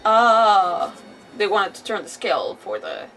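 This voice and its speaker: A woman streaming with casual game commentary, expressive and rambling as she thinks aloud. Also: medium pitch, a little slow, far from the mic, faint music behind, poor audio. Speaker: live streamer.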